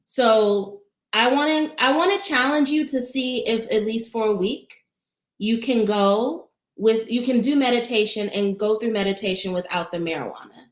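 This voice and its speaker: A woman with a soothing voice, a meditation teacher answering chat questions in a casual, gentle, encouraging livestream. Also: fairly high pitch, normal speed. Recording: roomy echo.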